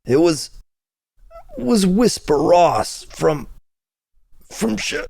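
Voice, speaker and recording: A man voicing a dying gunshot victim in an audiobook, gasping out his last words in halting, choked phrases. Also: high voice, very animated, vocal fry, darkish tone, no echo, clean studio sound, pitched above the performer's own voice, heavily acted. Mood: desperate, dying. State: choking, coughing.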